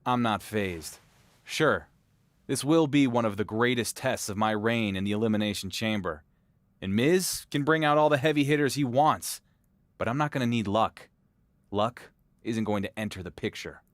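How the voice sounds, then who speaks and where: A man's voice: on the low side, animated, normal speed, no echo, close to an everyday voice. A man playing a confident, defiant pro wrestling champion in a video game cutscene, talking with cocky determination. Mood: defiant, determined. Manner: cocky, determined promo delivery.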